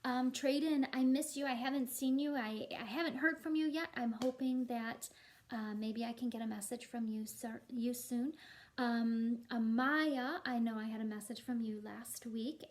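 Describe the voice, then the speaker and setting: A woman with a fairly high voice talks to young children as their teacher. She is encouraging and speaks in a simple, chatty read-aloud style. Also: fairly even intonation, normal speed. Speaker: elementary school teacher. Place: at home.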